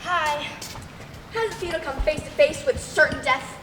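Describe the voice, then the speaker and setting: A woman's voice: sits high, moderate pace, lightly acted. A young woman acting a grandiose female war correspondent in a comic radio play, florid and declaiming. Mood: grandiose, earnest. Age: young adult. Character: grandiose, gushing embedded war reporter woman. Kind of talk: comic old-time radio broadcast acting. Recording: distant, echoey hall, noisy background.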